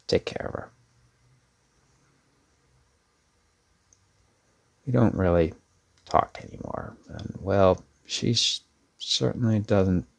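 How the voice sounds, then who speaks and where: A man acting as an earnest young brother in an audio roleplay, his voice low and gravelly. He speaks slowly and intimately, with hesitant pauses, like someone confiding in a friend. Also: full character voice. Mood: awkward, tender. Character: protective older brother, a laid-back but sincere young man. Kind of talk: ASMR audio roleplay, intimate one-sided dialogue.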